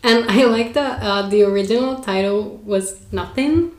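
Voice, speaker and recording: A woman's voice: medium pitch, normal speed, darkish tone. A woman in her twenties co-hosting a casual podcast, hesitant and thinking aloud, agreeing often. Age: 20s. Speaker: podcast co-host. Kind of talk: casual chat. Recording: echoey room.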